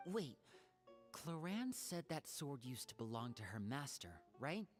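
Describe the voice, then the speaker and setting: A woman playing an earnest, kind young traveler in an anime-style video game, speaking gently and sincerely. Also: very deep voice, big pitch swings, a little slow, heavily acted. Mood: curious. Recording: music under the voice.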